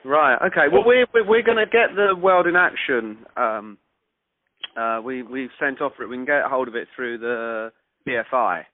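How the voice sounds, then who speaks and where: Male, average pitch, halting and repetitive at phrase starts, talking informally over a narrow phone connection. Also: lively intonation, no echo. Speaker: interviewer, listener. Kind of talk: casual phone conversation. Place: on a phone call. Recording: narrowband telephone call, dry.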